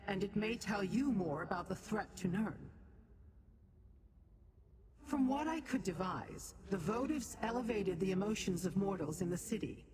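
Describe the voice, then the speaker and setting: A woman's voice: mid-range voice, close to an everyday voice. An actress playing a haughty, imperious female Daedra in a video game, speaking formally and condescendingly to mortals. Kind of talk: video game character acting. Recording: long reverb, clear background ambient noise.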